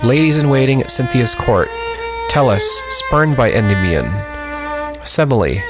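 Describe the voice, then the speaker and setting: A man's voice: mid-range voice, animated, measured pace, over music. A man reading out a play's cast list and stage directions in a plain, unrehearsed cold-read style.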